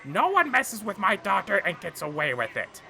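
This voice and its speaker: A woman voicing a bumbling male cartoon villain, a frantic mad scientist with a sharp, strained voice who rambles and exclaims theatrically. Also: medium pitch, big pitch swings, moderate pace, gravelly, faint music behind, exaggerated voice. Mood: exasperated. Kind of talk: cartoon fan tribute monologue.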